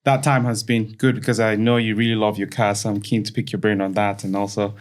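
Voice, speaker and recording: A man's voice: fairly low pitch, little pitch movement, fairly quick. A man hosting a podcast interview, speaking casually with many fillers and frequent agreement. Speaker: podcast host.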